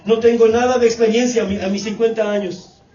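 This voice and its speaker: Preacher, man in his late 40s, high voice, repetitive cadence; distant, dull recording. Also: steady tone, brisk, warm tone, echoey room. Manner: repetitive preaching cadence, addresses congregation as brothers. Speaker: pastor. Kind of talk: sermon.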